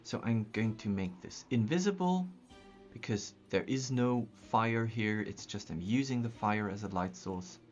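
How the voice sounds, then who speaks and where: A man's voice: on the low side, animated, measured pace, darkish tone. Austrian man thinking aloud on stream. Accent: Austrian German. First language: German. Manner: thinking aloud with frequent ums and restarts. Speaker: streamer building a map. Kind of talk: casual live-stream chat. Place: small room at a desk, indoors. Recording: slightly dull sound, faint music.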